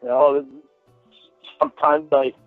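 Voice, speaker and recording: A man's voice: on the high side, flat delivery, dry recording, soft background music. Stuttering man on a tinny phone line. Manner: halting, defensive. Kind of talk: casual phone conversation.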